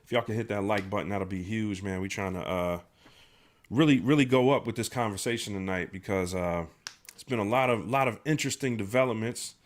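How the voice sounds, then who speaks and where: A man's voice: lowish voice, fairly quick. A man hosting a live stream in casual chat, nasal from a sinus infection, laid-back and slangy, with lots of fillers and restarts. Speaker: livestream host.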